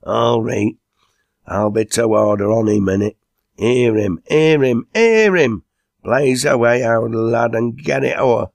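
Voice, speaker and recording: A man voicing a boisterous, hearty working man with a Lancashire accent, loud and exclamatory, in a storybook reading. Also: fairly low pitch, little pitch movement, a little slow, gravelly, no echo, pitched above the performer's own voice. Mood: boisterous urging. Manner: loud, exclamatory cheering and urging.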